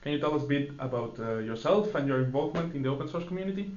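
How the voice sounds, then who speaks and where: A man's voice: on the high side, animated, rapid-fire, darkish tone. A man interviewer asking friendly questions, with fillers along the way. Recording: echoey room, dull lo-fi sound.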